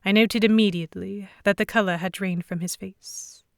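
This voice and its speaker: A woman narrating a scripted first-person audio drama in an expressive, dramatic storytelling manner. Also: mid-range voice, normal speed, vocal fry, no echo, clean studio sound.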